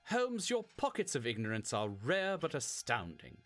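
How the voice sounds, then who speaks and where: A woman's voice: extremely low pitch, big pitch swings, normal speed, soft background music. A woman playing a sensible, dryly exasperated detective's sidekick in a comedy audio drama.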